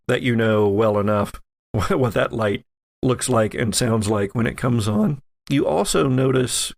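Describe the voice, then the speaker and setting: A man narrating a tabletop horror game as game master, setting scenes in a halting way. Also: medium pitch, creaky, no room sound.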